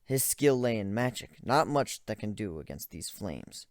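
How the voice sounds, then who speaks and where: A man narrating a dramatic story as an amateur reader, in a brooding, deliberate, theatrical way. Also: on the low side, very animated, no echo, studio quality.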